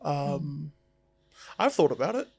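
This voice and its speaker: A man's voice: a little high, animated, slow pace, creaky, a little mellow, husky, faint music behind. A man, a tattoo artist whose first language is Tongan, talking in an easygoing, reflective way with jokey asides on a casual podcast.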